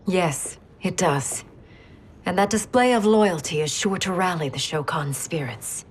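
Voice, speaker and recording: An actress playing a young warrior queen and dutiful daughter in a video game, composed and sincere, with a husky voice. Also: fairly low pitch, unhurried. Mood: composed, supportive. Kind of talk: video game cutscene acting.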